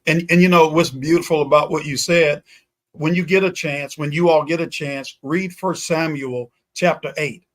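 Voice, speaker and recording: A man's voice: fairly high pitch, fairly even intonation, moderate pace. A man speaking as a guest pastor in a talk-show discussion, emphatic and repetitive with a preaching cadence.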